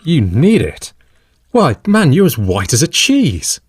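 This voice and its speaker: An actor playing a level-headed, sceptical Victorian gentleman and avuncular friend in an audio drama, reasoning in formal period speech. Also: mid-range voice, wildly swooping pitch, slow, husky, full character voice. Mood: concerned.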